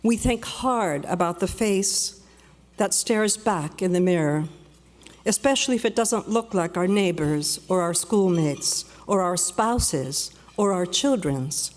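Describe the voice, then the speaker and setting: A woman born in Peru giving a measured, reflective prepared speech at a luncheon, slowing down for emphasis. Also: lowish voice, expressive. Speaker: guest speaker. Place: banquet hall. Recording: long reverb of a large hall.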